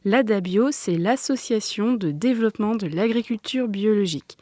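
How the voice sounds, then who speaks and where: Woman, mellow tone, interview guest, simple didactic explanations, dry recording. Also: medium pitch, moderate pace, no echo. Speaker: interview guest, agricultural association organiser. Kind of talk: informal radio interview, explaining simply to children. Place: close, dry recording for a radio workshop.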